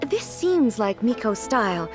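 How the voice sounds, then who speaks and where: A woman's voice: on the high side, very animated, music in the background. A woman playing a dignified, earnest female ruler in a video game, speaking formally and literally, naive about ordinary life. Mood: composed, hopeful. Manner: formal, measured, literal-minded. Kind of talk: video game character acting.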